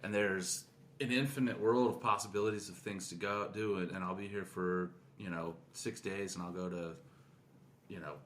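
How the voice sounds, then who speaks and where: Middle aged guy with a deep low voice chatting on a podcast, faint noise behind him. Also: fairly even intonation, moderate pace, a bit bright. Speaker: podcast co-host. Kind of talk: casual podcast chat.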